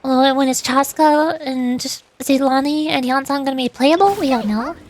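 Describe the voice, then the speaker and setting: A woman's voice: high-pitched, moderate pace, a little hiss or hum. A woman streamer giving casual, chatty and playful commentary while playing a video game. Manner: playful, chatty, with silly sound effects. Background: game music.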